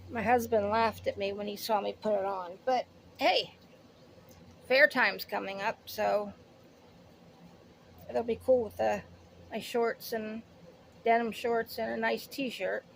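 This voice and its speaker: A woman's voice: fairly high pitch, steady tone, a little slow, gravelly, long pauses, slight background noise. A woman in her late fifties chatting casually through a shopping haul, rambling and interrupting herself as she goes. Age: late 50s. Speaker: haul vlogger. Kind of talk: casual product haul chat.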